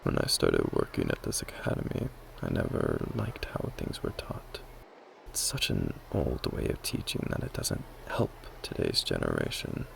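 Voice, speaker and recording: A man playing an earnest, caring magic academy teacher in a soft, whispery ASMR roleplay, speaking in a measured, gently formal way. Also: wildly swooping pitch, a little hiss or hum, full character voice. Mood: concerned, reassuring. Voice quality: whispery, breathy, mostly unvoiced. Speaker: roleplay voice performer. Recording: low-quality amateur recording.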